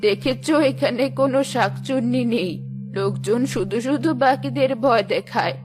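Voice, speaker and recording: A woman's voice: a little high, moderate pace, music in the background, natural voice. A woman voicing a sly old woman who coaxes a stranger to follow her, in a cartoon. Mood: coaxing. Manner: coaxing, soothing. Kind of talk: cartoon voice acting.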